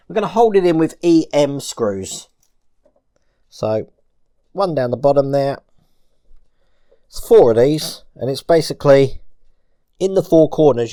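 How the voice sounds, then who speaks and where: Male, medium pitch. Informal hobby tutorial presenter, explaining each hands-on step while working. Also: mellow. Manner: informal, narrating actions as he works. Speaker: presenter of a model-building tutorial. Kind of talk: hands-on step-by-step instructional commentary.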